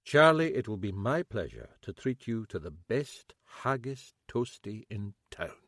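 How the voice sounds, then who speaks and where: A man's voice: fairly low pitch, sing-song, unhurried, a bit bright, no room sound, exaggerated voice. A man voicing a shrewd, dry-witted Scottish police chief with a Scottish accent. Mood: teasing. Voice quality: wry, commanding.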